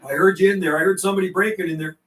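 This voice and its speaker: A man's voice: sits high, fairly even intonation, racing. A man hosting a CB radio livestream, chatting casually in slang and repeating and relaying messages between operators. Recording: roomy, distant microphone.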